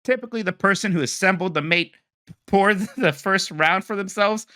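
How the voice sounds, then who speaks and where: A man's voice: sits high, moderate pace, dry recording, studio quality. A man co-hosting a casual podcast who reads listener emails aloud and pokes fun at his own stumbles. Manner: animated, self-mocking when stumbling over words. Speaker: podcast co-host.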